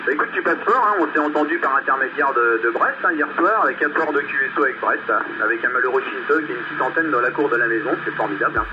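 A man's voice: medium pitch, animated, fast, hardly any pauses. Dull, noisy radio voice, talkative man. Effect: two-way radio. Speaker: amateur radio operator. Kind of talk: casual radio chat.

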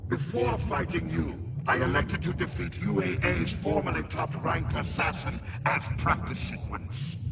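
An actor playing a vengeful cyborg assassin in a video game, with stilted robotic diction, reading punctuation and stage directions aloud. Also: male voice, fairly high pitch, creaky, distant mic, heavy background noise. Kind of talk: video game cutscene acting.

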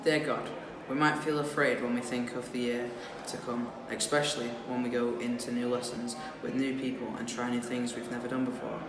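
A man's voice: mid-range voice, flat delivery, a little fast, few pauses. A teenage boy reading a prayer aloud. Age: teens. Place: indoor school room. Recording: echoey, distant mic, crowd noise behind.

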